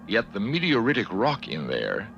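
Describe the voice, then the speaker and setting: An unflappable alien science officer in a cartoon, reasoning through every problem. His voice sits low and gravelly, though it still moves around more than you'd expect. Music runs underneath. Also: huge pitch swings, normal speed, fairly bright tone, muffled recording, a little hiss or hum, full character voice. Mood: logical. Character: calm logical male alien science officer.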